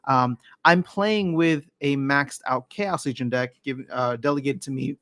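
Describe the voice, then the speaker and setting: A man's voice: medium pitch, normal speed, fairly bright tone, no echo. A man chatting casually on a livestream, reading viewer comments and answering them, often restarting and correcting his phrases. His voice sounds a little worn from a lot of talking. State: voice slightly worn from use.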